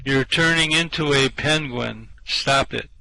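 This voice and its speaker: A man playing a bewildered, hapless space castaway in a comedy radio play, with drawn-out, bemused delivery. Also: mid-range voice, vocal fry, sharp tone, dry recording, low-quality recording. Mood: bemused, dazed. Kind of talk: amateur radio comedy acting.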